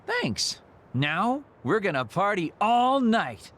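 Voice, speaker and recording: A man's voice: high-pitched, very animated, takes it slowly, fairly bright tone, heavily acted. A man playing a laid-back young video game character, casual and a little theatrical, with melancholy under the playfulness. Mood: playful. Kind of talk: English video game voice acting. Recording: clear music behind the voice.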